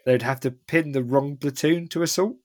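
A man's voice: fairly high pitch, moderate pace, darkish tone. A man co-hosting a podcast, joking and picking at the wargame rules for loopholes in casual chat. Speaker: podcast co-host.

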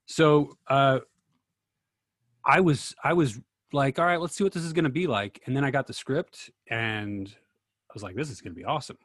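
A man's voice: medium pitch, normal speed, a bit bright, no echo, clean studio sound. A man speaking casually in a group discussion, as a host and actor telling stories.

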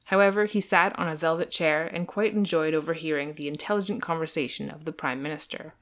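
A woman narrates a comic novel as a podcast host. Her voice sits a little low, and her reading is dramatic and amused. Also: fast talker, a bit bright.

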